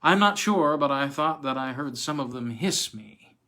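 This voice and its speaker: Male reader as mysterious noblewoman, seductive, coy, sighing, lifted pitch, gothic storybook. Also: animated, moderate pace, bright, forceful tone. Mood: wounded, sighing.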